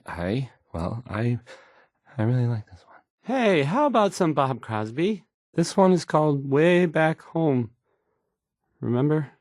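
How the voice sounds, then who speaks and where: A man's voice: fairly high pitch, a little mellow, no room sound, studio quality, heavily acted. An actor playing an awkward, insecure young male radio DJ who stammers and gets flustered introducing songs. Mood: awkward, hesitant. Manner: halting, stammering, flustered, self-doubting. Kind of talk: stumbling radio song introductions.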